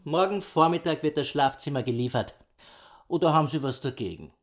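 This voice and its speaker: A man plays an obliging furniture salesman in a radio drama. His voice sits a little high and he speaks with formal politeness. Light guitar music plays behind him. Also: lively intonation, fairly quick, close to an everyday voice. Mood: obsequious, brisk.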